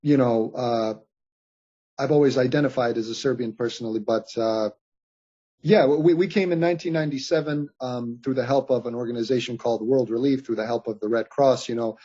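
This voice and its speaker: A man in his late twenties from Bosnia, a podcast guest talking things over earnestly with many pauses and restarts. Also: medium pitch, fairly even intonation, warm tone, breathy edge. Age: late 20s. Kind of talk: reflective interview conversation. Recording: dull lo-fi audio.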